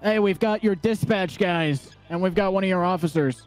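A man's voice: sits high, moderate pace, music in the background, rough recording. A man role-playing a hostage taker over game voice chat, taunting and crude.

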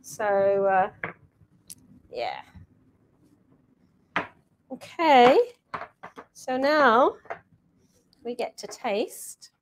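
A woman's voice: fairly high pitch, huge pitch swings, very slow, long pauses, a little hiss or hum. An older woman hosting a live cooking demonstration from her home kitchen, chatty and self-mocking. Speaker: cooking show chef. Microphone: fixed camera mic across the counter.

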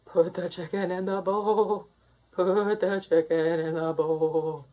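A woman voicing a hungry cat, pleading and insistent with exaggerated, drawn-out begging, for a children's storybook. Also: on the low side, level tone, dark tone. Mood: demanding. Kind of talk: children's storybook read-aloud.

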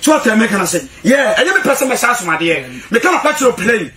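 Very high, rapid-talking young Ghanaian man. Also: sing-song, sharp tone. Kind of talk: animated informal studio talk in Pidgin and Twi. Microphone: studio microphone.

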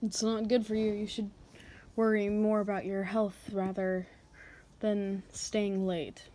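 A woman plays a self-assured female boss in an intimate ASMR roleplay. She speaks slowly in a dark, soft-edged tone. Her delivery is controlled and teasing, as she toys with an employee. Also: mid-range voice, little pitch movement, close to an everyday voice. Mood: composed, coy.

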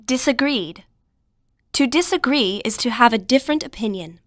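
A woman reading scripted vocabulary material for learners in a measured, instructional way. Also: a little high, lively intonation, dry recording, studio quality. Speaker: vocabulary narrator. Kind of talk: scripted word definitions for learners.

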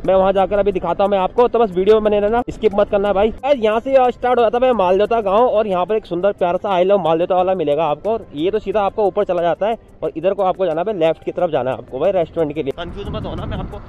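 A man's voice: high voice, quick pace, hardly any pauses, lo-fi sound, soft background music. A man in his thirties giving a chatty walking tour for his vlog, speaking into a handheld selfie camera. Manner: chatty, says "guys" and "bhai" constantly. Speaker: vlogger. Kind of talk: casual travel vlog tour. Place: outdoors on a scooter and walking through a cafe garden.

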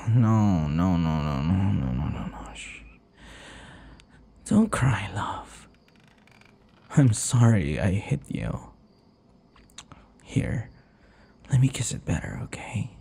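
A man voicing a teasing, possessive centuries-old vampire captor in an intimate ASMR roleplay, breathy and husky, mock-tender and taunting. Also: low voice, big pitch swings, mellow, a little hiss or hum, full character voice. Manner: slow, mock-tender, taunting, often dropping to whispers. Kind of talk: ASMR boyfriend roleplay, intimate dramatic monologue.

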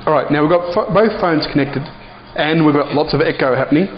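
A man's voice: fairly high pitch, fairly quick. A man presenting a casual, unscripted product demonstration as an app reviewer. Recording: dull lo-fi sound, clear background noise.

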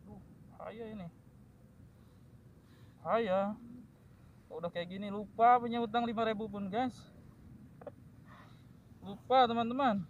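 Man vlogger, high voice, excited and joking, outdoor marsh, noisy field recording. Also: measured pace, pauses often, muffled recording. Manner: excited, joking, addresses viewers. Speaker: eel fishing vlogger. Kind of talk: casual outdoor fishing commentary. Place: outdoors in grassy wetland by a ditch. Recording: outdoor field recording with loud ambient noise.

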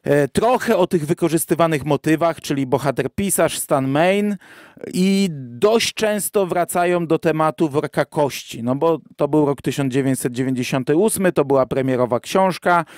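A male podcast co-host with a fairly high-pitched voice discusses and reviews in a casual, discursive manner. The sound is dry and close to the microphone. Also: normal speed, a little mellow, no echo. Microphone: close microphone on a remote virtual-studio call.

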